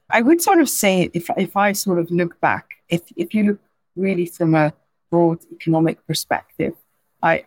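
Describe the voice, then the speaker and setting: A woman's voice: lowish voice, darkish tone, no echo. Belgian-accented woman, thoughtful and dry-sounding. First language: not English. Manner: thoughtful, frequent restarts and "right?" tags. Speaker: podcast guest, insurance executive. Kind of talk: conversational interview answers.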